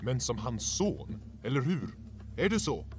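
A man's voice: medium pitch, big pitch swings, lightly acted. A man voicing a stern, distrustful lion king and father in a cartoon fan dub, with a commanding manner. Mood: interrogating. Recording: music loud behind the voice.

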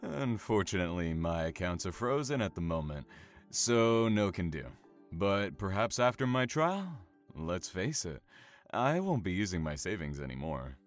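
An actor playing a taunting, obsessive imprisoned serial killer in an audio horror drama, smug and teasing with drawn-out menace. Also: male voice, low voice, big pitch swings, soft background music. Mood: playful, gloating.

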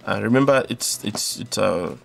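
A man's voice: on the low side, moderate pace, vocal fry, soft background music. A man hosting a hands-on tutorial, explaining in an instructional way and often repeating and rephrasing his steps. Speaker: electronics tutorial host.

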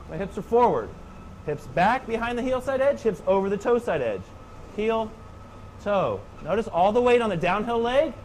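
Male snowboard coach, thirties, higher voice, calm clipped cues, faint hum behind. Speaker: snowboard instructor. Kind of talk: step-by-step instructional demonstration. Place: indoor training slope under a roof.

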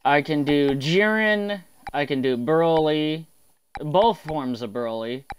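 A man's voice: a little high, takes it slowly. A man talking casually on a livestream as an amateur voice actor, with faint noise and clicks behind him.

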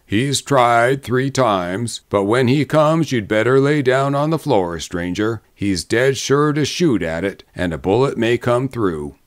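A man's voice: medium pitch, animated, unhurried, hardly any pauses, natural voice. A man voicing a matter-of-fact, folksy barkeeper in a storybook Western. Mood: calm warning. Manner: folksy, blunt. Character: grim, matter-of-fact frontier barkeeper, calmly warning a stranger. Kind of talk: storybook character voice in a Western tale.

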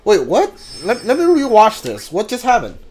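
High-voiced man doing game commentary. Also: wildly swooping pitch, moderate pace, a little mellow. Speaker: video game streamer. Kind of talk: casual gameplay commentary. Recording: clear background noise.

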